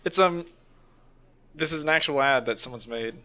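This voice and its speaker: A man's voice: medium pitch, moderate pace, pushed and bright. A man co-hosting a podcast in a casual, rambling, sweary chat, reacting to videos. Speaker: podcast host.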